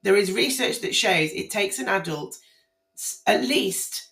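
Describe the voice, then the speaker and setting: A woman with a croaky, hoarse voice, speaking casually and emphatically to her viewers about family court matters, often repeating herself and restarting phrases. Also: medium pitch, lively intonation, unhurried, a bit bright. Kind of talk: casual spoken commentary on guidance to camera. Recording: roomy echo.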